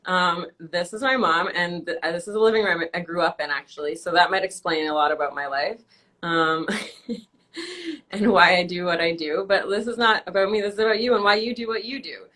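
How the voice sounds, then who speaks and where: A woman's voice: on the low side, a little fast, fairly bright tone. A young woman from Canada hosting a casual live-stream interview, teasing her guest and laughing often. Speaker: host.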